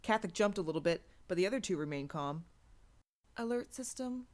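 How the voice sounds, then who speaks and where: A woman's voice: lowish voice, fast talker, darkish tone. A woman reading a first-person story aloud as an audiobook narrator. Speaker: audiobook reader. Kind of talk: scripted fiction narration.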